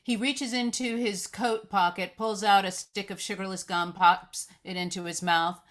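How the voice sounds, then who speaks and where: A woman's voice: medium pitch, fairly even intonation, moderate pace, fairly bright tone. Woman reading screenplay stage directions aloud. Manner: descriptive, reading aloud with stumbles. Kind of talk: scripted narration, live screenplay read.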